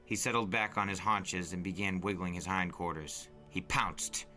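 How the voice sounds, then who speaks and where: A man reading a story aloud as narrator, with expressive, suspenseful, dramatic audiobook-style delivery. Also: low-pitched, moderate pace. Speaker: narrator and reader. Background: music bed.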